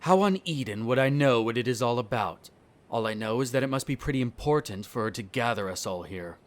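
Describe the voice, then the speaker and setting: A man's voice: mid-range voice, expressive, fairly quick, natural voice. Haughty, condescending vampire nobleman, acted by a man. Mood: annoyed, dismissive. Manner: condescending, sardonic, formal wording. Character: haughty, smug male vampire noble, disdainful of humans. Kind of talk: scripted roleplay audio drama.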